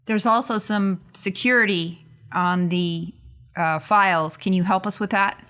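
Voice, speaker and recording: A woman's voice: mid-range voice, measured pace, a bit bright. A woman chatting casually as a player in a tabletop roleplaying game.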